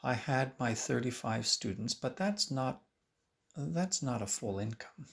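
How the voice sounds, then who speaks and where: Male violin teacher, interview talk, gravelly edge, swinging pitch. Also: measured pace. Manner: animated, acts out his own past remarks, frequent restarts.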